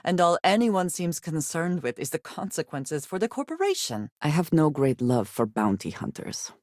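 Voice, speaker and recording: An actress playing a guarded, solemn woman companion in a space adventure video game, speaking in formal, measured phrases. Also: low-pitched, very animated, a little mellow, no echo, clean studio sound. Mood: dry, reserved. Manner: formal, measured speech without contractions. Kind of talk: scripted video game voice acting.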